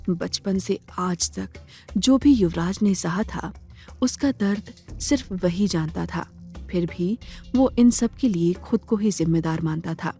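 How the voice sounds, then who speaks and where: A woman narrator with a lowish, mellow voice tells a dramatic audio story. Her delivery is measured and scripted, with soft music underneath.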